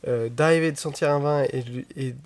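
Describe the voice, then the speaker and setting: A man hosts a conversation about wine, sounding slightly stuffed up from a cold. His voice sits at a medium pitch, and he reflects out loud in an easygoing way. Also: brisk. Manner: relaxed, reflective, frequent affirmations. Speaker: podcast host. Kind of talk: conversational interview.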